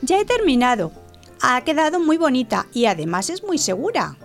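A confident young storybook pig, played by a woman, practical and reassuring. Her voice is high and very animated, with faint music, in a children's radio play. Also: normal speed, gravelly, full character voice. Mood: composed. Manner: sensible, reassuring.

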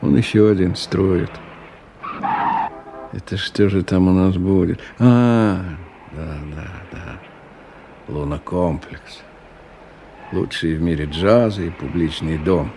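An actor playing a middle-aged businessman in a radio drama, ironic and musing, in an unhurried way. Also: deep voice, mellow, slight background noise. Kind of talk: radio drama acting, interior monologue.

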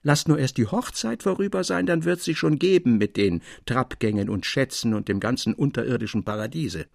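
A man narrator acts out a practical mine-owning father in a literary tale. He is brusque and dismissive of superstition yet reassuring, with a creaky edge to his voice. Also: medium pitch, animated, brisk, a little mellow, no room sound, studio quality.

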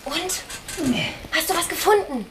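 Very high-pitched, feisty young woman. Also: animated, slow, heavy background noise, full character voice. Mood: indignant, tense. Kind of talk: radio drama dialogue. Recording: faint music under the voice.

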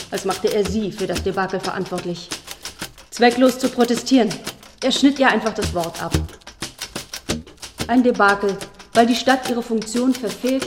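A woman giving a scripted reading from a literary novel as its narrator. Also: medium pitch. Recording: roomy echo, clearly noisy track.

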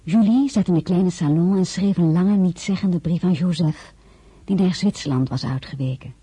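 A wistful woman looking back on her life in a radio drama. Her voice is very husky and breathy, with a mellow softness. She narrates intimately and reflectively, in a dry studio sound. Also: lowish voice, normal speed, dry recording. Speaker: lead actress and first-person narrator.

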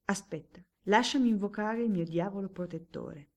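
Woman doing a bitter boastful baron, louder and higher than her dark reading voice, scoffing a lot. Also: normal speed, lightly acted. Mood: defiant. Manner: loud, scornful, theatrical outbursts. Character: reckless ruined male baron gambler, bitter and boastful. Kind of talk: audiobook character voice.

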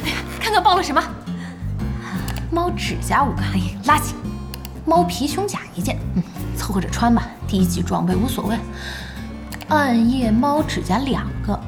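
A high, very animated voice of a feisty young woman running an internet cafe. She is blunt and brash, scolding and exclaiming in audio drama style, with music behind her. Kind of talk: Chinese audio drama acting.

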